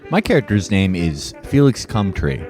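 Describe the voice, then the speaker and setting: Man, low voice, swooping intonation, comic tabletop player, mock-boastful, music behind. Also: very animated, normal speed, a little mellow. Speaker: player in a comedy tabletop game. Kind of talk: comic in-game character introduction. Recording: clear music bed under the voice.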